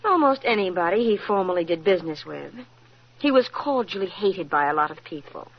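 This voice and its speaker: A woman's voice: lowish voice, lively intonation, brisk. An actress playing a cool, sardonic, defiant female secretary in 1940s radio acting style. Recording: muffled old radio recording.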